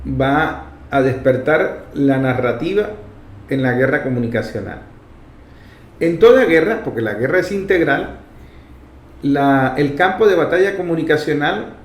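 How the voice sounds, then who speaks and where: Venezuelan man commentating in a roomy echo. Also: fairly high pitch. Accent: Venezuelan Spanish. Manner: emphatic, didactic, repeats key phrases. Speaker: radio program host and commentator. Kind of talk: political commentary monologue. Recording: roomy echo, faint background noise.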